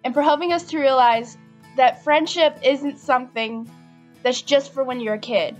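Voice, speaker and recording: Young woman, high bright-pitched voice, heartfelt, dull lo-fi webcam sound with faint music. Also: moderate pace. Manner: heartfelt, listing thanks in a steady build. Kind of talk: casual fan message to camera.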